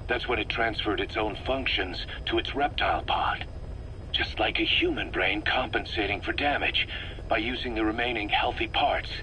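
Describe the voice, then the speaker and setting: A man's voice: fairly low pitch, moderate pace, bright, forceful tone, rough recording. A man playing a stern, distrustful mercenary officer in a video game, giving briefings in a clipped, authoritative way. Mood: grave briefing. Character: stern, distrustful male mercenary second-in-command briefing his commander. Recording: faint background noise on cassette recording.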